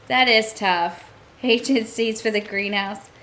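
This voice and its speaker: Chatty woman streamer, a bit hoarse. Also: a little high, slow, a bit bright, slight background noise. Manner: chatty, thinking aloud, talks to chat. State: hoarse, losing her voice. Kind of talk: casual gameplay commentary.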